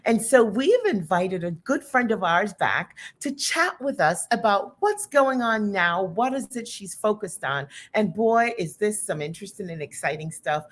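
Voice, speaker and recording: A woman's voice: medium pitch, animated, moderate pace, a bit bright. A woman hosting an interview talk show, speaking earnestly and with animation. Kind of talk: hosted interview chat.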